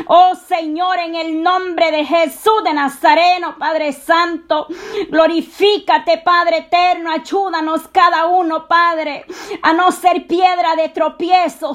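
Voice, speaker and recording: A woman's voice: very high-pitched, fairly bright tone. A woman leading a fervent, improvised evangelical prayer, impassioned and pleading, often crying out.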